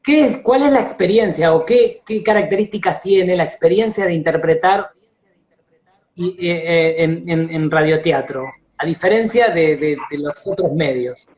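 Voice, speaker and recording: A man with an Argentine Rioplatense accent interviewing casually, halting and repeating words as he searches for phrasing. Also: on the high side, darkish tone, lo-fi sound.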